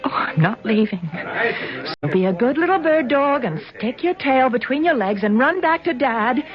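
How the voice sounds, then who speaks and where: A headstrong young socialite, high and lively. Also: female voice, moderate pace. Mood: defiant. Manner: defiant and flustered. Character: headstrong young woman, a senator's daughter. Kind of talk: 1970s radio drama acting. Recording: dull lo-fi radio recording.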